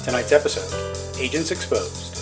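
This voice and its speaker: A man's voice: medium pitch, lively intonation, normal speed. A man announcing a show in a scripted intro style. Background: guitar music.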